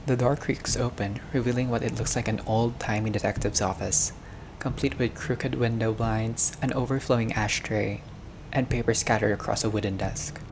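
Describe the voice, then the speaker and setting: Male gamer, creaky voice, narrates a visual novel and comments casually; audible noise on the home recording. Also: on the low side, steady tone, normal speed. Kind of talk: game playthrough, reading narration aloud with casual reactions.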